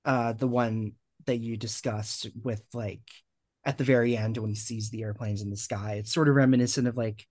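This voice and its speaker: A man's voice: medium pitch, fairly even intonation, normal speed, a little mellow, no echo. Male host, dry sound, thinks aloud with ums. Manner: hesitant, trailing off with ums. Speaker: podcast host. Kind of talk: casual podcast film discussion.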